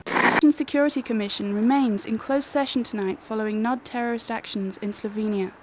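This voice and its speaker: Game newsreader, played by a woman: serious, slightly high voice, noisy recording. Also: fairly even intonation, a little fast, close to an everyday voice. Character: serious female TV newsreader on security crisis. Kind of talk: broadcast news report in game cutscene. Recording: noisy old game audio.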